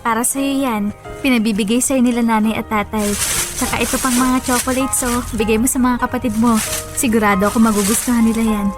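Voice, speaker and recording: A woman's voice: fairly high pitch, fairly even intonation, a little fast, lightly acted. A woman acting as a devoted, emotional young girlfriend in a radio love drama, with music behind her. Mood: sweet, doting. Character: affectionate young girlfriend, heartbroken and furious when betrayed. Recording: background music under dialogue.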